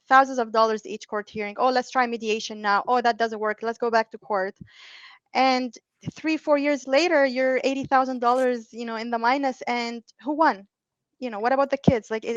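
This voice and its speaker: A woman's voice: a little high, no room sound, lo-fi sound. A middle-aged woman from Lebanon, a divorce mediator, talking as a guest in a casual interview, often restarting her sentences and filling gaps with "um" and "like". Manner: halting, many restarts and fillers.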